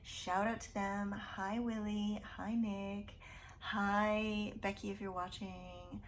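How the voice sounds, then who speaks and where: A woman's voice: medium pitch, flat delivery, slow, slight background noise. Chatty woman in her thirties vlogging. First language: English. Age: 30s. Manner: chatty and rambling, with self-deprecating laughs. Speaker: YouTube book channel host. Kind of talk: casual to-camera vlog chat.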